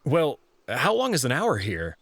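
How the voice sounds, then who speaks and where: A man's voice: medium pitch, wildly swooping pitch, a little slow, fairly bright tone, dry recording. A man reading a visual novel aloud in first person, moving between narration and dialogue. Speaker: narrator and player reader.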